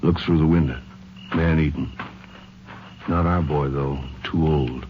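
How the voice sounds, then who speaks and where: An actor playing an alert Texas Ranger sidekick in a radio western, with clipped, folksy speech. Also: male voice, rumbling low, steady tone, a little slow. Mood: watchful. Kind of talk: 1950s radio western acting. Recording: muffled, noisy old radio recording.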